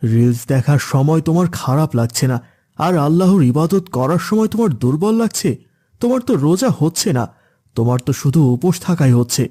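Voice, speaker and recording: A man's voice: fairly high pitch, expressive, brisk, dark tone. A man voicing a stern, lecturing father figure in a children's cartoon. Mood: stern, admonishing. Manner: lecturing, admonishing. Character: stern preachy father, later gruff scolding gambler uncle. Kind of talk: Islamic children's cartoon dubbing.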